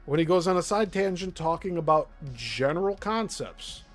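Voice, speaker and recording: A man's voice: fairly high pitch, animated, moderate pace, soft background music. A man with a Midwestern accent hosting a live stream, commenting bluntly and with exasperation on clips he plays. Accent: Midwestern American. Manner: blunt, exasperated, laughs often. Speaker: show host.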